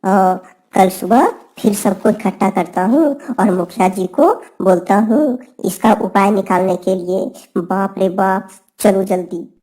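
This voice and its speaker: A woman's voice: medium pitch, slow pace, warm tone, poor audio. A woman voicing a cheeky, cowardly young male village bird in a cartoon, with comic, fretful rustic talk. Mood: complaining, urgent.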